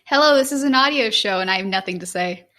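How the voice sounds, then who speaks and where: A woman co-hosting a podcast in casual chat, chiming in with quick, sweary comments. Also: mid-range voice, expressive, sharp tone. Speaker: podcast co-host.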